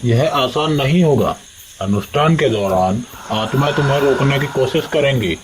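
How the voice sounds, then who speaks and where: A man playing a grave village priest who guides a dangerous ritual in an animated horror story. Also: mid-range voice, normal speed, noisy background, lightly acted. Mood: solemn.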